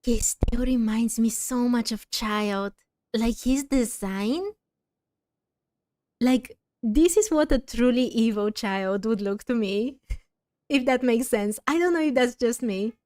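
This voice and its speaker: A woman's voice: on the high side, takes it slowly, a little mellow, no room sound. A woman streamer giving casual gameplay commentary, musing and thinking aloud close to the microphone. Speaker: streamer playing a story game.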